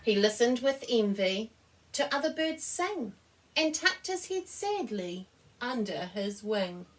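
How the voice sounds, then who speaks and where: A woman reading a children's picture book aloud as an expressive storyteller. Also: a little high, slow pace, distant mic, low-quality recording. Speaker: story reader.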